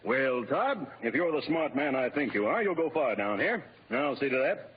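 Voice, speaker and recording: Muffled old radio comedy, slick shady businessman, falsely hearty, glib, lively intonation. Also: mid-range voice, moderate pace, slightly rough. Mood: hearty, flattering. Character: smooth-talking, wheedling, crooked businessman with political pull. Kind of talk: 1940s radio comedy acting.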